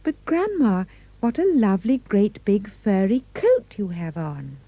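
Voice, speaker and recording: A woman's voice: mid-range voice, huge pitch swings, measured pace, mellow, dry recording, muffled recording, full character voice. A woman voicing a confident young girl from a storybook, sweet and assured. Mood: innocent.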